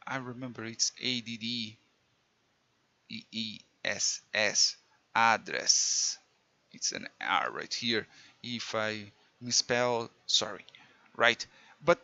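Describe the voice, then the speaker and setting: A man's voice: medium pitch, sharp tone, no room sound, dull audio. A man with a Portuguese accent teaching a casual programming lesson, chatty and self-mocking, with slips in his English. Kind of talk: casual screen-recorded coding lesson.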